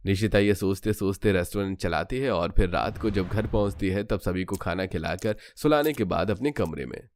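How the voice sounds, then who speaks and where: A man with a fairly low voice narrates a cartoon tale as a scripted storyteller. There is noticeable noise in the recording. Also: normal speed, hardly any pauses, a little mellow.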